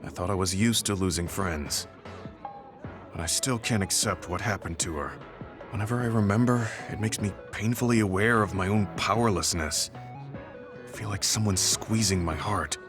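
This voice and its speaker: A kind, weary veteran soldier performed by a male actor for a video game. His voice sits on the low side and he speaks in measured, reflective phrases that carry long guilt. Prominent music plays behind him. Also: natural voice. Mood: sorrowful, guilty. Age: middle-aged. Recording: studio voice under loud game music.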